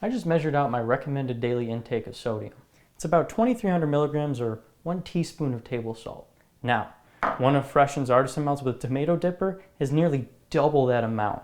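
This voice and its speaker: A man narrating a student television news report in a clear, even delivery. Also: mid-range voice, brisk.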